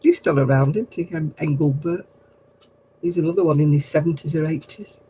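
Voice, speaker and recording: An older woman chatting casually on her craft livestream, answering viewers in a low, husky voice and a rambling, gossipy way. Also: a little fast, warm tone, poor audio. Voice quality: low, husky voice after throat surgery.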